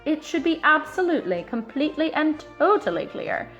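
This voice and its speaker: A woman reading a children's picture book aloud in an expressive, emphatic way, stretching out key words. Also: high voice, brisk, highs cut off, soft background music. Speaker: storybook reader.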